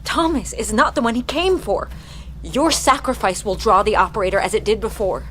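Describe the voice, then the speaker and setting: A woman's voice: mid-range voice, moderate pace, a bit bright, lightly acted. A woman acting as an armed true believer in an audio drama, solemn and insistent. Her mid-range voice is unyielding and zealous, with faint noise around it. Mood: fervent.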